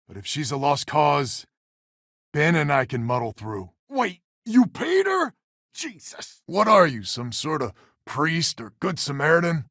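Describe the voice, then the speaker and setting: A stubborn, weary leader of space settlers in a video game. He is folksy and sardonic, his voice set a little high for a man and moving freely up and down, recorded close and dry. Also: a bit bright, no echo, exaggerated voice. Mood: surprised. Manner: folksy, sardonic, plain-spoken. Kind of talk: video game character dialogue.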